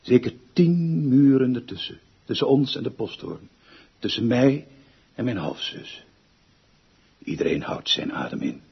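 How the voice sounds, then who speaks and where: A man's voice: mid-range voice, big pitch swings, measured pace, vocal fry, breathy edge, heavily acted. A man in a radio drama playing a pompous, obsessive music director who declaims grandly and repeats words for emphasis. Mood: wistful, brooding. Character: pompous, obsessive, theatrical male music director, haunted by lost half-sister. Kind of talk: theatrical radio drama acting. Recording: dull lo-fi radio recording with music.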